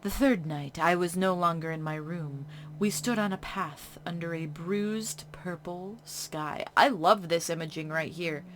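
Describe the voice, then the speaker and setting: Slow-talking woman playing a horror game. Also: lowish voice. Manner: hushed reading broken by chatty reactions. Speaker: game streamer. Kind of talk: reading a game's text aloud with casual commentary.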